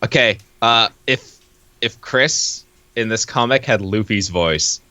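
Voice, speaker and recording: A man's voice: fairly low pitch, takes it slowly, pushed and bright, dry recording, muffled recording. A man from Canada co-hosting a comic read-along, chatting casually in a giggly, profane, joking way.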